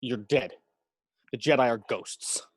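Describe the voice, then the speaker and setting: Guy playing a dark-side villain, slow terse bitter lines, mid-pitched, defiant, space opera audio drama. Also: close to an everyday voice. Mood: disbelieving. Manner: terse, deliberate. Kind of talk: sci-fi audio drama acting.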